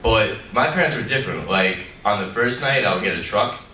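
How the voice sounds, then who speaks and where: A young man doing a stand-up comedy set, with a low voice and a deadpan, rambling, self-mocking delivery. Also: fairly quick, slight background noise. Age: young adult. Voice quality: low, deep voice. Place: comedy club stage with a live audience. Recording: roomy, distant live club recording with loud crowd laughter.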